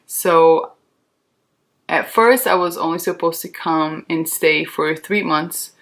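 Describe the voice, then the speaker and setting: A woman's voice: deep voice, fairly even intonation, slow, fairly bright tone. A woman in her thirties from Brazil, a Portuguese speaker, chatting in a candid, reassuring, informal way like a beauty vlogger. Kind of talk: casual chatty makeup tutorial.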